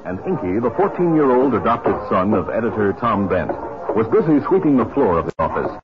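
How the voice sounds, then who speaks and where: A man's voice: on the low side, sing-song, brisk, over music. A man playing a grand western radio announcer, declamatory and emphatic, in 1950s style. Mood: scene-setting. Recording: tinny, noisy 1950s radio recording.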